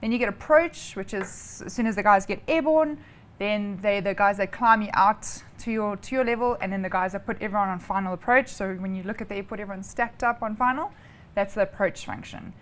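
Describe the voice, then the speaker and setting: A woman's voice: medium pitch, brisk. A woman with a South African accent answering interview questions informally, explaining things step by step in a chatty way. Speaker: interview guest, air traffic controller.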